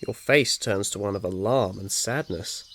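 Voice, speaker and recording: A man reading scripted second-person ASMR fiction as narrator in a soothing, measured manner. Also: fairly low pitch. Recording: faint noise, nature ambience behind. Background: birdsong, insects, nature ambience.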